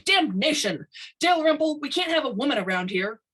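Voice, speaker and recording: A woman voicing a gruff, stubborn, hot-tempered male construction boss who barks blunt orders in a story reading. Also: high-pitched, big pitch swings, normal speed, fairly bright tone, pitched above the performer's own voice. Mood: angry. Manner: blunt, bossy, barking orders.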